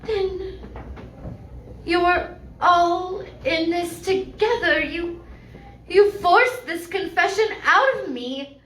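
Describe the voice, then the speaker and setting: Shrill desperate guilty wife. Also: female voice, slow pace, pauses often, fairly bright tone, heavily acted. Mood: shocked. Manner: pleading, breathless sobbing. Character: guilty desperate woman confessing to arson. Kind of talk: live radio drama acting. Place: small live venue. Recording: roomy live room sound.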